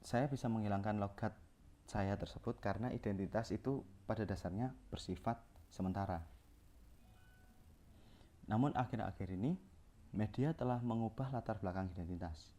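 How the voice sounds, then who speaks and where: A young man with a low, mellow voice reads through a course presentation. He keeps tripping over words and restarting them as he goes. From Central Java, Indonesia. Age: young adult. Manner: reads from notes with frequent self-corrections and restarts. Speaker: communication student presenting.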